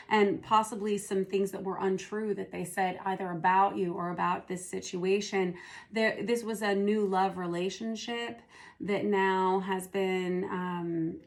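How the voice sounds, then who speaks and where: Hoarse, gravelly woman reading tarot. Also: medium pitch. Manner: unhurried, thinking aloud as she reads. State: hoarse, losing her voice. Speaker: tarot reader. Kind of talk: casual spoken tarot reading.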